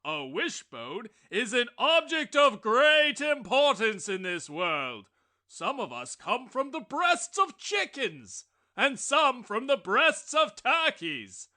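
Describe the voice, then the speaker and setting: A man reading a storybook aloud voices a boastful, self-important male wishbone who lectures the other kitchen objects in a loud, grand way. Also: very high-pitched, sing-song, slow pace, exaggerated voice. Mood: pompous.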